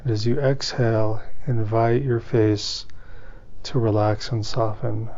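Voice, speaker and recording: Even-toned male meditation guide, muffled sound. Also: fairly low pitch. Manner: unhurried phrases with pauses, gently instructing. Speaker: meditation teacher.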